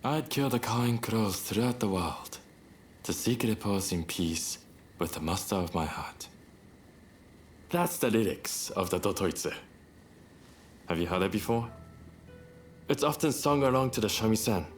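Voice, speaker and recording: A young samurai rebel in a video game romance scene. His voice sits low and he speaks slowly, with a teasing, cocky, smooth-talking charm. Music plays behind him. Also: expressive. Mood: suggestive. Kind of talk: video game cutscene voice acting.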